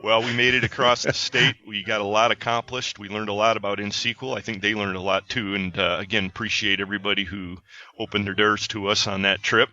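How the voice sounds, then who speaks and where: A man's voice: on the low side, level tone, fairly quick, pushed and bright. A man hosting a podcast, chatting casually and plugging his company in an upbeat way. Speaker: podcast host. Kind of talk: casual chat with plugs. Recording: dull lo-fi sound.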